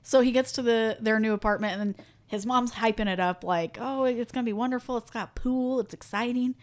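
A woman's voice: on the high side, fairly quick. Chatty woman co-hosting a podcast. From Midwestern United States. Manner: chatty, laughs often, self-deprecating. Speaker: podcast co-host. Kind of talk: casual chat.

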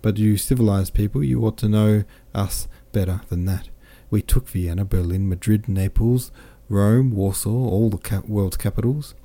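A man's voice: fairly low pitch, normal speed, few pauses, dark tone. A man voicing a jovial, boastful French army captain who chatters in exclamations.

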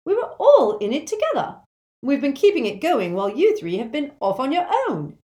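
A woman's voice: high voice, sing-song, normal speed, warm tone, voice pushed up. A woman voicing a determined, indignant teenage schoolboy rebel in an audiobook, speaking with insistent emphasis. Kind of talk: audiobook character voice.

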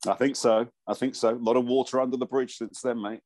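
A middle-aged man with a croaky voice, a radio presenter and voiceover artist telling a relaxed story in casual chat. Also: mid-range voice, dry recording. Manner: relaxed anecdotal storytelling with pauses.

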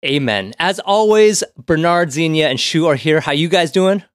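A man's voice: fairly high pitch, animated, normal speed, clear, resonant tone, no echo, studio quality. A man hosting a podcast, informal and affirming as he interviews in a casual way. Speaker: podcast host.

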